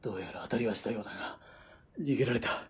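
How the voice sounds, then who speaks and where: A man's voice: lowish voice, rapid-fire, breathy edge. Strained, stoic male military spotter played by an actor. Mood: grim. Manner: clipped, reporting in military terms. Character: wounded, stoic military spotter, dutiful and exact. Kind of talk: Japanese audio drama acting.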